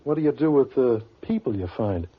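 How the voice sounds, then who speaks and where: An actor playing a plain-spoken, curious sidekick with an American accent in an old radio drama, informal and a little naive. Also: male voice, medium pitch, expressive, fairly quick, breathy edge. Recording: muffled vintage radio recording.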